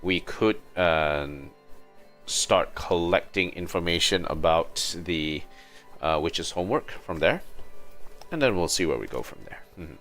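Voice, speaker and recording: A man's voice: deep voice, lively intonation, unhurried, a bit bright, soft background music. A man livestreaming a game with casual commentary, musing aloud and humming to himself. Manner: hums to himself, muses aloud.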